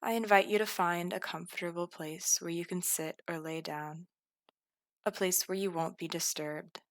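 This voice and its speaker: A woman guiding a scripted meditation in a calm, soothing, deliberate manner. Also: on the low side, no echo. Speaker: meditation guide.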